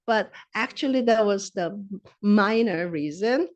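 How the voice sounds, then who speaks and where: A middle-aged woman with a Korean accent presents a research talk at a medical lecture. Her delivery is steady and explanatory, plain-spoken, with occasional self-corrections as she works through points. Her pitch sits in the middle range for a woman. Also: measured pace, lo-fi sound. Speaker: physician researcher presenting at grand rounds. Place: lecture hall.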